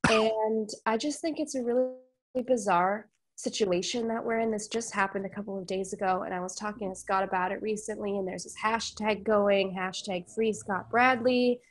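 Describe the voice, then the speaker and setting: A woman's voice: medium pitch, steady tone, normal speed, a little hiss or hum, low-quality recording. A woman musician with a cold, sounding congested, chatting casually on an online call with many fillers. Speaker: musician guest. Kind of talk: casual discussion.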